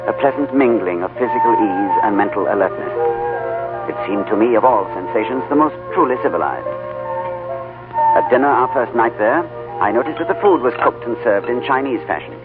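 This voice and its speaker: An actor playing a calm, thoughtful male diplomat who narrates his journey in a 1930s radio drama, speaking in a composed, gentle way. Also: medium pitch, brisk, natural voice. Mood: dreamy narration. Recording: tinny old radio broadcast with music.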